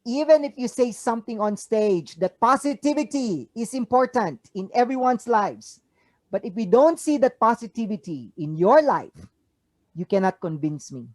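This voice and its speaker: Filipino accent motivational speaker on a video call, lots of rhetorical questions, dry close sound. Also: male voice, high-pitched, lively intonation, normal speed, a little mellow, dry recording. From Philippines. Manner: rhetorical questions, emphatic rises. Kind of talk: inspirational speech on a video call. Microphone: video call mic.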